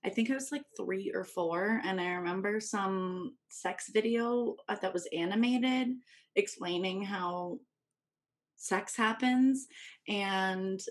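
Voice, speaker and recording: A woman in her thirties, a podcast guest speaking openly and reflectively about personal experience, seated in a living room at a tabletop microphone. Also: medium pitch. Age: 30s. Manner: open, reflective, thoughtful pauses. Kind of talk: candid personal conversation. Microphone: tabletop podcast mic.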